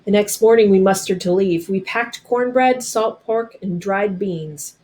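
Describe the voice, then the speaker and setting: A woman narrating a storybook aloud as a storyteller, with dramatic, deliberate phrasing. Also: mid-range voice, a little mellow.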